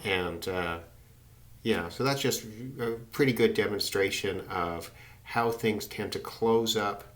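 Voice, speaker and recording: A man's voice: fairly low pitch, takes it slowly. A man giving a casual hands-on demonstration as a radio hobbyist. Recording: loud radio static and hiss behind voice. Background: shortwave receiver static and interference.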